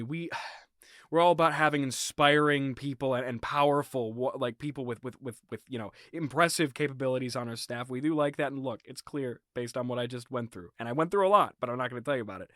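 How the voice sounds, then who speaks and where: A man's voice: medium pitch, fairly bright tone, no room sound, clean studio sound, lightly acted. A man playing a flustered, awkward restaurant boss in a comedy sketch, stammering, hedging and repeating himself. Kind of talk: improvised comedy sketch acting.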